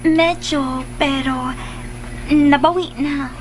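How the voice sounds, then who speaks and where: A young sweetheart in a Filipino radio soap. Her voice is very high, anxious and pleading as she tries to be understood. Faint noise sits behind it. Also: fairly even intonation, slow pace, poor audio. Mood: nervous pleading. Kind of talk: Filipino radio drama acting.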